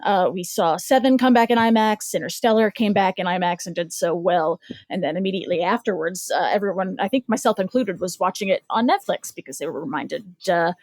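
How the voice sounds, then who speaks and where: A woman on a podcast panel talks casually in a gravelly, creaky voice. Her mic sounds dry and close, and she often stops to restart her sentences. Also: fairly low pitch, darkish tone, dry recording. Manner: halting, lots of fillers and restarts.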